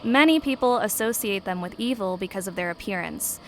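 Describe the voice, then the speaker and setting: Casting: studious young woman, mid-pitched, explains things plainly, faint ambience. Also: expressive, fairly quick, a bit bright, slight background noise. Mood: knowledgeable. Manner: matter-of-fact, explanatory. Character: bookish young female art history student. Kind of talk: natural audio drama dialogue.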